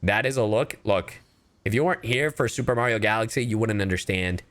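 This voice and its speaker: A man livestreaming a game, chatting casually and goofily with his audience in an animated way. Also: fairly low pitch, brisk. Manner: animated, goofy, addresses chat directly. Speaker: livestreamer, VTuber. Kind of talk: casual livestream chat while gaming.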